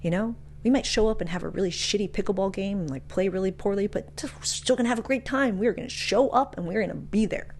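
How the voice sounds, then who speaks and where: A woman's voice: medium pitch, quick pace, hardly any pauses, a little mellow, slight background noise. A woman speaking as a motivational speaker, casual and frank, with emphatic delivery and slangy asides. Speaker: motivational speaker and podcast host. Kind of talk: motivational talk with personal anecdotes.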